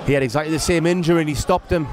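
A man's voice: on the high side, very fast. A man commentating on a boxing bout and interviewing at ringside in a sports hall, chatty and enthusiastic, on a handheld mic. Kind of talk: fight commentary and post-fight interview. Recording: loud crowd noise in a sports hall.

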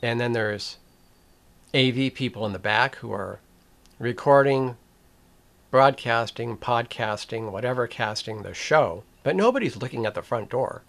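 An older man speaks at a very unhurried pace, weighing each phrase before he goes on. His pitch sits in an ordinary middle range, and he talks with pauses, restarts and dry asides. It sounds like he is at a desk in a small room, close to a webcam. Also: animated, creaky, a bit bright. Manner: deliberate, with pauses and restarts, wry. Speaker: video essay host. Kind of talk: slow reflective commentary to camera.

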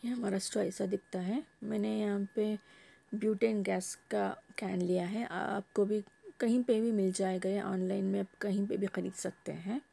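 A woman's voice: mid-range voice, flat delivery, normal speed, vocal fry, warm tone, slightly rough. A woman vlogger casually narrating an unboxing at home, explaining each step in a homely way. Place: home, table top.

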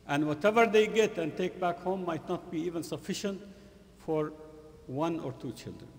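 A middle-aged man giving an earnest Friday sermon in a mosque, speaking in short, emphatic, halting phrases with repetition. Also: a little high. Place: mosque prayer hall, indoors. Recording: long hall reverb from room speakers.

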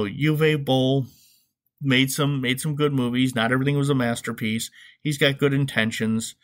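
A man's voice: mid-range voice, a bit bright. A man hosting a podcast, giving comedic, opinionated commentary in a sarcastic way with emphatic asides. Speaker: podcast host.

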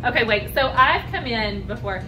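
A woman's voice: a little high, lively intonation, normal speed. An American woman in her thirties hosting an upbeat promotional interview with gushing enthusiasm. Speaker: host, realtor. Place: indoors at a restaurant bar. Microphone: camera a few feet away. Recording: distant mic, music behind. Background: music.

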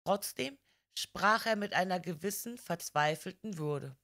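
A woman's voice: fairly low pitch, animated, unhurried, fairly bright tone. A woman reading a literary crime story as a scripted audiobook narration.

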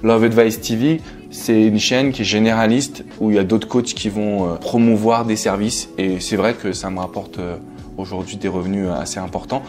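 A man's voice: fairly low pitch, fairly even intonation, moderate pace, a little mellow. A man, talking casually as an interview guest. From France. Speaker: interview guest, coach and entrepreneur. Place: living room, on a sofa indoors. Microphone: clip-on lapel mic. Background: background music.

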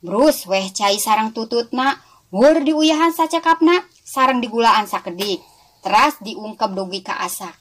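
A woman's voice: fairly high pitch, expressive, measured pace, a bit bright. A woman with a West Java Sundanese accent narrating a scripted children's story expressively, as a storyteller addressing young listeners. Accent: Sundanese-speaking West Java.

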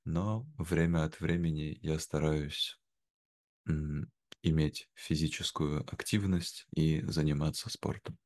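Very deep-voiced young man, slow talker. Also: fairly even intonation, a little mellow. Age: young adult. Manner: measured, carefully phrased. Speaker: interview guest. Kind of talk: slow conversation for language learners. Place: indoor room at home, video call. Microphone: video call, wearing headphones.

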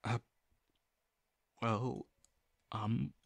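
A man's voice: mid-range voice, fairly even intonation, gravelly, no room sound, exaggerated voice. A man playing a shy, sincere young guy in love with his best friend, speaking hesitantly and intimately to the listener in an audio roleplay. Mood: awkward, flustered. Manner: hesitant, halting, full of pauses and sighs. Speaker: voice actor.

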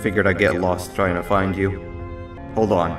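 An actor playing a weary, sardonic yet loyal devil monster in a video game, wry and conversational. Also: male voice, deep voice, little pitch movement, moderate pace, close to an everyday voice. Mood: instructive. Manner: wry, conversational, exclamatory when pressed. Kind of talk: video game character acting. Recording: echoey, distant, music behind.

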